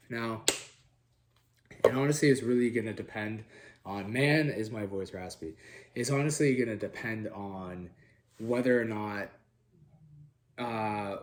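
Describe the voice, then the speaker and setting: A young man with a raspy, slightly nasal voice from being sick, talking quietly to the camera while cooking in his home kitchen. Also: fairly low pitch. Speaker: cooking vlog host. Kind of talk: casual cooking demo. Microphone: camera on the counter.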